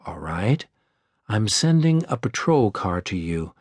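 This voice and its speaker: A man reading an audiobook, voicing a calm, reassuring woman working as an emergency operator, measured and firm. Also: lowish voice, dry recording. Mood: calm, warm. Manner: measured, soothing, controlled.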